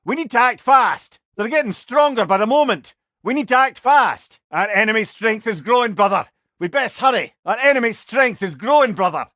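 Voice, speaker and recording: Game companion: witty older Scottish advisor, loyal and wry, high-pitched for a man, close dry sound. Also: moderate pace, hardly any pauses, no echo, exaggerated voice. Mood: urgent. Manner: expressive, wry, folksy. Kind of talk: video game voice lines.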